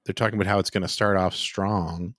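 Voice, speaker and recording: A man's voice: deep voice, fairly even intonation, fast, fairly bright tone, no echo, clean studio sound. A man chatting casually as a podcast co-host during a quiz. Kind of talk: casual quiz-show chat.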